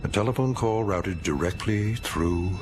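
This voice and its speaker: An actor playing a grave anthology narrator in a radio drama, speaking in a measured, portentous way. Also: male voice, lowish voice, music in the background, close to an everyday voice. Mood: grave, suspenseful.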